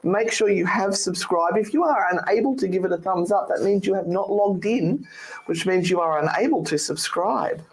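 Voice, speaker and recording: An older Australian woman co-hosting a radio show, hoarse from a cold, chatty and joking in studio banter. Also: medium pitch, moderate pace, poor audio. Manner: chatty, joking, breaks into laughs. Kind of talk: casual banter on air.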